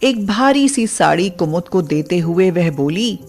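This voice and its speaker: Slow-paced woman narrating a story. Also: fairly low pitch, lively intonation, darkish tone, soft background music. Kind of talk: scripted moral-story narration.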